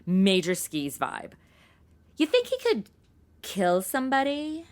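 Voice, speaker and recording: An actress playing a sassy, impatient modern twenty-something in a comedy audio drama, flippant and gossipy with plenty of slang. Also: female voice, medium pitch, wildly swooping pitch, slow pace. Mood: sassy, pushy.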